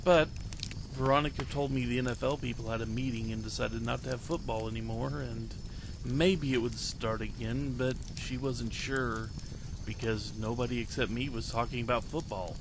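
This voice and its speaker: A man voicing an excitable, earnest ten-year-old boy reading his diary in a dramatic short story. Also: medium pitch, moderate pace, gravelly, background noise, lightly acted. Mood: let down. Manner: naive, childlike, eager phrasing.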